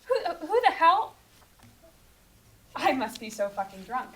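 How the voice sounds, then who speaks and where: A drunk young mother, acted by a woman at a table read, defiant on top and despairing underneath. Her voice is high and sharply bright, full of guilt. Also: very animated, a little slow, heavily acted. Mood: alarmed, drunken. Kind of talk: screenplay table read acting.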